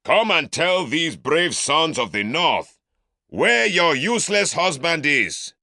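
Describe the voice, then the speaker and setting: A man doing a loud scornful older uncle. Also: high voice, very slow, creaky, no echo, studio quality, voice pushed up, full character voice. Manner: mocking, boastful shouting.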